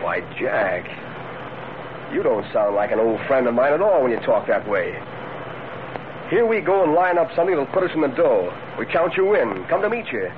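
An actor playing a pushy, smooth-talking gang leader in a 1940s radio drama, slangy and needling. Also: male voice, mid-range voice, very animated, moderate pace, lightly acted. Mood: threatening, bossy. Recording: tinny, noisy old radio recording.

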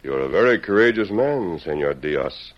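A noble masked hero of a Western radio serial. The voice is deep and sweeps up and down, with formal, commanding, measured delivery. The sound is muffled, like a vintage broadcast. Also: male voice, big pitch swings. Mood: resolute. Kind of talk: old-time radio drama acting.

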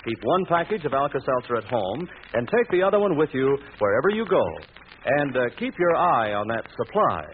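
A man's voice: medium pitch, big pitch swings, fairly quick. American announcer guy selling stuff on old radio, swoopy delivery, faint noise. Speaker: announcer and sponsor pitchman. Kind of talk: 1940s radio commercial announcing. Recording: tinny old radio broadcast.

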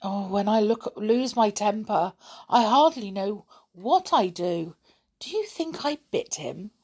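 A woman voicing a cheeky, excitable young boy in a storybook, with an earnest, protesting manner. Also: fairly low pitch, slow pace, gravelly, slightly rough, exaggerated voice. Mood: mock-serious.

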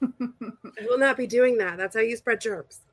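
A tired-sounding woman co-hosting a podcast, speaking in a hushed, dry, self-deprecating way. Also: fairly high pitch, a little slow, breathy edge, no room sound. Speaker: podcast co-host, organizing expert.

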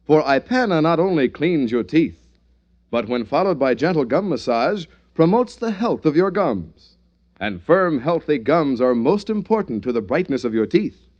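A man's voice: on the high side, very animated, moderate pace. A male announcer giving a polished, persuasive scripted read in the manner of 1940s radio advertising. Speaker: commercial announcer. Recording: muffled old radio recording.

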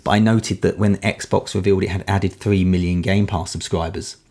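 A man with a very low, croaky voice, speaking casually on a podcast panel. He thinks aloud and often restarts his sentences mid-thought. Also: fairly even intonation, moderate pace, darkish tone. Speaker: podcast panelist, industry editor.

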